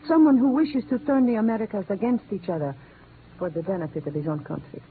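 A woman's voice: medium pitch, lightly acted. Guarded woman played by an actress on tinny radio. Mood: earnest. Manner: measured, formal, dramatic. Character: guarded, weary Latin woman, earnest and secretive. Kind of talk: 1950s radio acting. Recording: narrow, noisy old radio recording.

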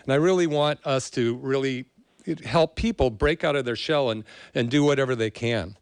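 A man's voice: mid-range voice, moderate pace, clear, resonant tone, no room sound. A man hosting a podcast interview, introducing his guest in a loose, rambling way with fillers. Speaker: podcast host.

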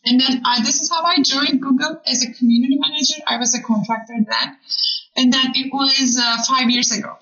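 A woman's voice: high-pitched, fairly even intonation, brisk, a bit bright, roomy sound, highs cut off. A woman from Turkey with a Turkish accent, telling her story casually as an interview guest. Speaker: podcast guest, community program manager.

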